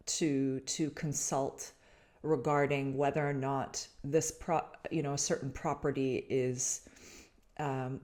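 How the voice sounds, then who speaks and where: A woman from Canada hosting a podcast, talking reflectively to her listeners about spirit and design, with a searching, halting manner full of restarts and fillers. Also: deep voice, darkish tone. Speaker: podcast host.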